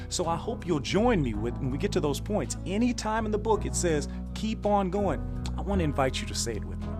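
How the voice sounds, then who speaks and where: American male storyteller, encouraging and emphatic, very lively delivery over music, for a children's read-along. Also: fairly high pitch. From Louisiana, USA. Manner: encouraging, emphatic, drawing listeners to join in.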